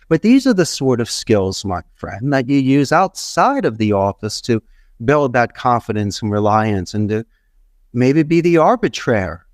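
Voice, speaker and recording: A man's voice: mid-range voice, no room sound, clean studio sound. Emphatic, rambling middle-aged man. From Philadelphia, US. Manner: emphatic, rambling, folksy advice with repeated phrases. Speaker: podcast guest, call center entrepreneur. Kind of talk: motivational advice in an interview.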